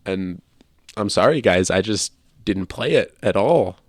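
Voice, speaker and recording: A man with a creaky voice co-hosting a casual video game podcast, chatting conversationally. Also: animated, a little slow, no room sound, clean studio sound. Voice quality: low creaky voice. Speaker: podcast co-host. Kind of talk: casual podcast chat about video games.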